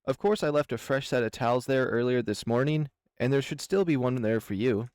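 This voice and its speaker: A man voicing a gentle, kind male furry creature who speaks softly and without hurry. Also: mid-range voice, clear, resonant tone, no room sound, clean studio sound, natural voice. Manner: soft, gentle, unhurried.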